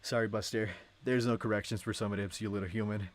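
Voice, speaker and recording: A man's voice: fairly low pitch, fairly even intonation, fast, natural voice. A man voicing a protective, heroic agent turned teacher in a script read-along, earnest and informal. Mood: firm.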